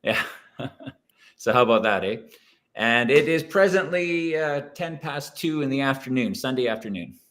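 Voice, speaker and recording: A man's voice: mid-range voice, expressive, normal speed, a bit bright. A man in his fifties with a Canadian accent, speaking casually as a teacher introducing himself on a panel. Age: 50s. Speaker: panel guest, science teacher.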